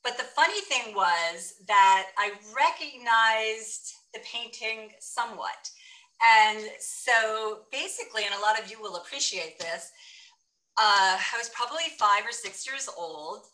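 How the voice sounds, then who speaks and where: A woman hosts a book club, her voice bright and edged. She sits back from the mic, so the room's echo wraps her chatty, storytelling talk. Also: fairly high pitch, unhurried, far from the mic. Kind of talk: casual interview hosting. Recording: echoey room, voice from off mic.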